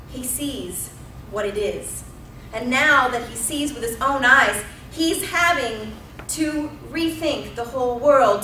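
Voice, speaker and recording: A woman's voice: high-pitched, bright, forceful tone, recorded from a distance, a little hiss or hum. A woman in her thirties preaching a sermon as pastor, telling the story with emphatic repetition. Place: church sanctuary. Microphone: pulpit mic at a distance. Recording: roomy church sound from off-mic.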